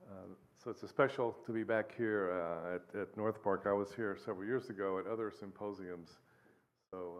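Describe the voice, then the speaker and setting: Man in his sixties reading an academic paper. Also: lowish voice. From United States and Guatemala. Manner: measured, reading from a text. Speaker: lecturer.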